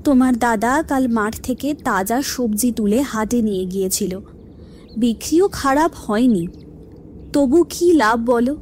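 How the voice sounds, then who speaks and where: A woman's voice: on the high side, normal speed, a little mellow, slight background noise, lightly acted. A woman playing a worried, timid village wife in a cartoon, plaintive and confiding. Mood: complaining. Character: worried, timid village wife gossiping about an extortionist headman. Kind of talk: Bengali cartoon story dialogue.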